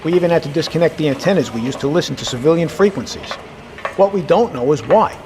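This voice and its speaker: Actor as a commanding American submarine captain, noisy background. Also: male voice, a little high, expressive, fast talker, darkish tone, close to an everyday voice. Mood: guarded, probing. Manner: clipped, authoritative military delivery. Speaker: actor in an audio drama. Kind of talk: audio drama acting. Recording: clear ambient background noise.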